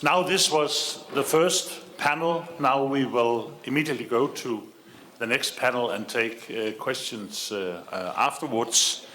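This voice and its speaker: A man's voice: medium pitch, a little slow, pushed and bright, a little hiss or hum. A man chairing a conference session, introducing speakers in a hesitant way with many fillers. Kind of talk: formal moderating.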